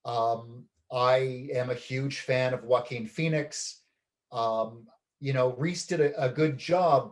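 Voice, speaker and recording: A man from Canada, a documentary film director, giving a measured, reflective interview with many ums and pauses. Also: mid-range voice, a little mellow.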